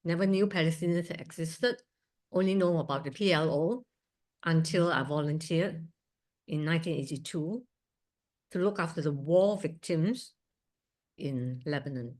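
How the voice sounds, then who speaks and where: Low-voiced woman from Singapore in her 60s. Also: normal speed, darkish tone. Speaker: guest speaker, surgeon. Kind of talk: personal talk at a museum event. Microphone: video call.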